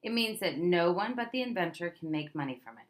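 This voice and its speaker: A woman's voice: fairly low pitch, expressive, a little fast. A woman reading a children's picture book aloud, expressively, stressing key words as a storyteller does for young listeners. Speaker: read-aloud presenter.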